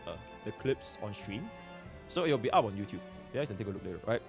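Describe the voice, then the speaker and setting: A man with a Singaporean accent speaking on a livestream, halting and filler-heavy. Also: on the low side, lively intonation, creaky, faint music behind. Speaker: streamer. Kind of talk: livestream talk.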